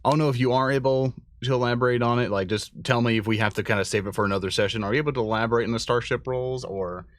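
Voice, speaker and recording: A man's voice: medium pitch, steady tone, very fast, dry recording. A man hosting a livestream in a casual, enthusiastic, slangy and rambling chat style. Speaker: livestream host.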